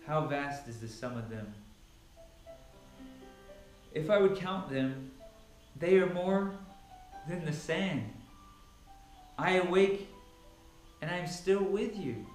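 A man's voice: a little high, animated, slow, pauses often, soft background music. A man speaking as a Bible reader at home, recorded in a large room by a camera some distance away. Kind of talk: casual introduction, then dramatic scripture reading. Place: large, sparsely furnished living room at home. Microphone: camera a few metres away. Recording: echoey room, picked up from a distance.

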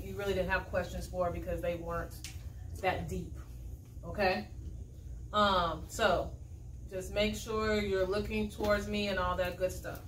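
A young woman teaching in a dry, instructive manner, leading a classroom read-aloud with questions and frequent check-ins. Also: mid-range voice. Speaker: primary school teacher. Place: school classroom, indoors. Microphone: camera a few metres away. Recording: echoey classroom, distant mic, background noise.

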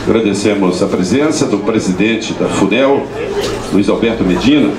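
A man's voice: lowish voice, normal speed. A man with a Brazilian accent making formal announcements as master of ceremonies. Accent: Brazilian Portuguese. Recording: echoey PA with crowd noise. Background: crowd.

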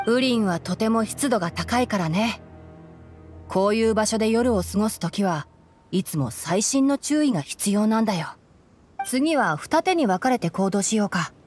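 A woman playing a calm, knowledgeable young male forest ranger in an anime game, explaining things in a composed, gently teasing way. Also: medium pitch, normal speed, faint music behind, lightly acted. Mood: calm, instructive. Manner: explanatory, composed, lightly dry. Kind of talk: Japanese anime game voice acting.

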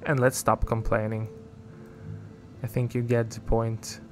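A man's voice: mid-range voice, level tone, unhurried, soft background music. A man whose first language is Ukrainian, rambling through casual gameplay commentary as a gaming YouTuber, often correcting himself.

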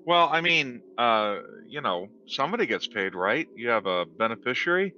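A man chatting casually and a little haltingly as a player in a tabletop roleplaying game. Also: medium pitch, animated, pushed and bright, slight background noise. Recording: dull lo-fi voice chat audio.

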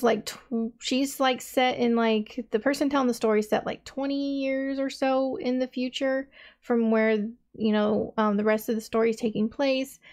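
A woman in her thirties hosting a reaction video, thinking aloud in a rambling, casual way with many fillers, in a small dim room. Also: on the high side.

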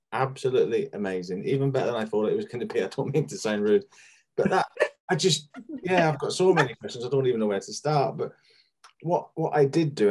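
A man hosting an online talk, chatting casually in an effusive, halting way over a video call. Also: mid-range voice, darkish tone. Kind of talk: casual chat responding to a speaker.

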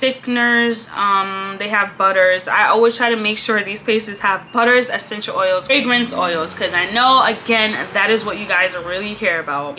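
A young woman vlogging casually at her desk in a small room, laid-back and chatty, her voice a little congested and often trailing off softly at the ends of lines. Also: medium pitch, a little hiss or hum. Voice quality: slightly congested. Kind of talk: casual chat.

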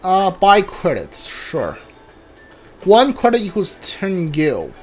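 Gamer of unclear sex, casual commentary, unhurried halting delivery, muttering to self, game music behind. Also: medium pitch, huge pitch swings, a little mellow. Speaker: video game player narrating a playthrough. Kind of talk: casual gameplay commentary, thinking aloud.

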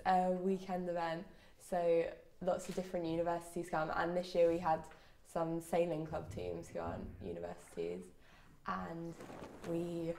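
A woman's voice: on the low side, creaky. A young adult woman giving a documentary interview as a student event organiser. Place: small lakeside room with large windows. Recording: roomy, noisy on-location sound.

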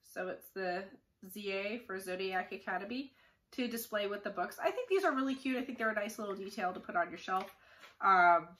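A woman's voice: medium pitch, animated, a little fast, fairly bright tone, a little hiss or hum. A middle-aged woman chatting casually to camera as an unboxing host, informal and opinionated, with a nasal voice stuffed up from the flu. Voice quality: nasal, congested. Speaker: book reviewer hosting an unboxing. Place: small furnished room indoors.

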